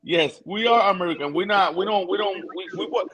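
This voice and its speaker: High-voiced man, passionate. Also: a little fast, a bit bright, low-quality recording. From Puerto Rico. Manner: passionate, repeats words for emphasis. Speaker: guest, boxer. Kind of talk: casual panel talk.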